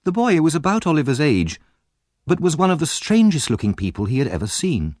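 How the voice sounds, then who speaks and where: A man narrating a story as a scripted audiobook, in a measured and expressive storytelling manner. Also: on the high side, no room sound, studio quality.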